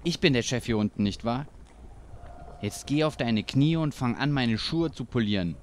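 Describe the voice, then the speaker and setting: A man's voice: mid-range voice, animated, normal speed, lightly acted. A bullying mobster boss, played by a man in a radio play. He is cocky and theatrical, speaking in a middle register over faint noise. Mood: cocky, bossy. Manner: bossy, theatrical. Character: cocky, bullying male gangster boss in hell.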